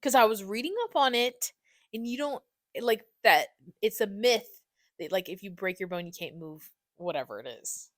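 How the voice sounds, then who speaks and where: A woman's voice: medium pitch, moderate pace, a bit bright, dry recording, clean studio sound. A young woman hosting a podcast, chatting casually in a teasing, slangy way with some swearing. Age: young adult. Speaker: podcast host. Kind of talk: casual banter with a podcast guest.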